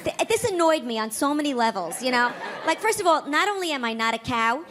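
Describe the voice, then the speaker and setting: A woman's voice: high-pitched, very animated, fairly quick, noisy background. A woman doing stand-up in a comedy club, with a punchy joke delivery. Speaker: stand-up comic. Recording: club recording with crowd and music.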